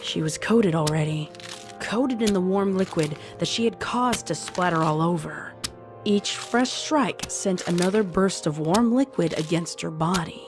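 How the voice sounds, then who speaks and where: A woman reads horror fiction as narrator in a slightly low voice. Her delivery is deliberate and dramatic, with faint music underneath.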